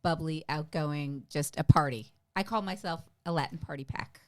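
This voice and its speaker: Chatty middle-aged woman, slightly low voice. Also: moderate pace, crowd noise. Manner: chatty, emphatic, enthusiastic. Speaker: podcast guest, stand-up comedian. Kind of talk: casual conversational storytelling.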